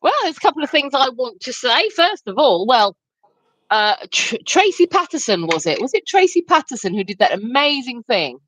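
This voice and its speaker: Woman from London, cheeky co-host, lively higher voice, dry close recording. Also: moderate pace, no echo, low-quality recording. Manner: cheeky, laughs often, teasing. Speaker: livestream co-host. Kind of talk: casual banter.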